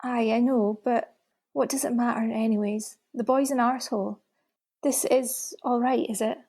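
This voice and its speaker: A woman playing a frightened, weary help-seeker in a radio play, husky and hesitant. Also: a little high, fairly even intonation, normal speed, mellow. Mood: upset. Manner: hesitant, plaintive. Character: frightened, weary, needy female regular visitor to helpline. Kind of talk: naturalistic radio play acting.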